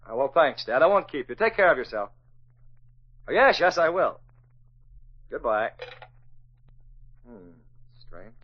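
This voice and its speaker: A man with an American accent playing a suave young newspaper publisher in a muffled, hissy 1940s radio drama. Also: medium pitch. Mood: brisk, friendly.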